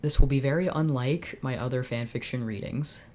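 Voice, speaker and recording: Very deep-voiced sardonic woman. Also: normal speed, darkish tone, a little hiss or hum. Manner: sardonic, dramatic. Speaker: channel host reading fanfiction. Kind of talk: unscripted reading with live reactions.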